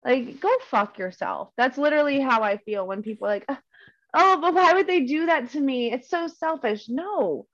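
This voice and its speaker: A woman's voice: high voice, expressive, normal speed, fairly bright tone, dry recording. Earnest young woman, dry dull recording. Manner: earnest and enthusiastic, with many "um"s and "like"s. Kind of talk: casual podcast chat.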